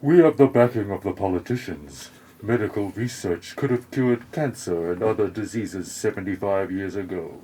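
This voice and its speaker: A man's voice: fairly low pitch, normal speed, mellow, a little hiss or hum, lightly acted, rough recording. A man playing a smug, callous corrupt executive in an amateur parody audio play.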